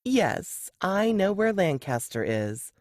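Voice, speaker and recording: A woman's voice: fairly low pitch, big pitch swings, takes it slowly, dry recording, clean studio sound, voice pushed up. A woman voicing a friendly, easygoing female café worker in an audiobook story, casual and helpful. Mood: friendly, curious. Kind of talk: audiobook character dialogue.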